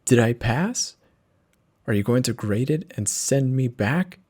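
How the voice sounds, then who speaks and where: A man's voice: medium pitch, expressive, unhurried, a little mellow, breathy edge, pitched above the performer's own voice. A man voicing a despairing male student in a horror story, pleading and anguished as he questions his fate. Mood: desperate, demanding. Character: despairing male student trapped in a deadly test.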